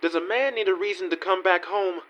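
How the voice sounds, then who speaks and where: A man's voice: high voice, brisk, lo-fi sound, lightly acted. An actor playing a deluded, bewildered man come home, earnest and melodramatic in a parody radio play. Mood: indignant.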